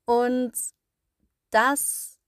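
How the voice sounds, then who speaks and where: Langsame, ruhige Frauenstimme, trocken aufgenommen. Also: female voice, fairly high pitch, level tone, very slow, a bit bright, dry recording, clean studio sound. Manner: explains patiently with frequent rephrasing and fillers. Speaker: podcast host. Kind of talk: casual explanatory podcast talk.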